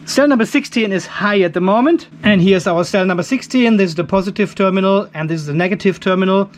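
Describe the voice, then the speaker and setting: Middle aged guy w a pretty high voice, chatty diy demo in his garage, thinking out loud. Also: fast talker. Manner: informal, chatty, thinking aloud while working. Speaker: host of a DIY off-grid battery channel. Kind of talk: casual hands-on demonstration talk. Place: garage workshop.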